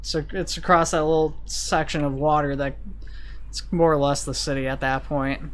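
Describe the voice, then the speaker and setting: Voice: mid-range voice, flat delivery, normal speed. A video game streamer giving casual, rambling commentary while thinking aloud. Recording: vehicle and siren noise behind voice. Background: game sirens and vehicle sounds.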